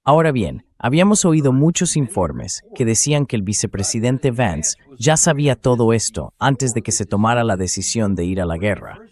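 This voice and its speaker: A man's voice: medium pitch, lively intonation, moderate pace, hardly any pauses, a little mellow. A man's synthetic AI voice reading a translated political commentary as a voice-over.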